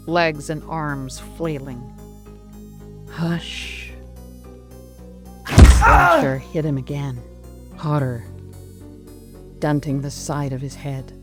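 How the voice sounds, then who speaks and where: A slow, deliberate horror narrator with a mid-pitched voice that could be a man's or a woman's. The delivery is dramatic and menacing, built on theatrical pauses, with faint music underneath.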